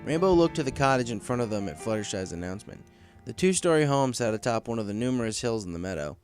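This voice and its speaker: A man's voice: mid-range voice, sing-song, fast talker. A man reading a story aloud as scripted narration. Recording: faint music under the voice.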